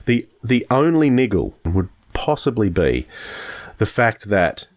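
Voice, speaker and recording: A man with a low voice co-hosts a podcast. He speaks in a casual reviewing style, measured in his opinions, often doubling back to restart a phrase. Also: sing-song. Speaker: podcast co-host.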